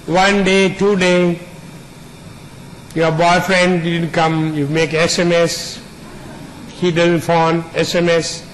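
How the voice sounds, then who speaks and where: A man with a noticeably high voice gives a spiritual teaching at a very slow, deliberate pace. He speaks earnestly, stacking up repeated lists of words. A faint hiss of background noise runs under him. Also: flat delivery, poor audio. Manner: unhurried, builds long repeated lists. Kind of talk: spiritual discourse to an audience.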